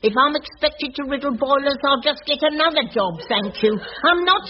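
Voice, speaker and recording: An actress playing a blunt, chatty elderly cleaner with a working-class English accent in a radio sitcom. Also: female voice, high-pitched, normal speed, lightly acted. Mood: indignant, scornful. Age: older. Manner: indignant, colloquial, chatty. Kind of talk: British radio sitcom acting. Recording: muffled, noisy old radio recording.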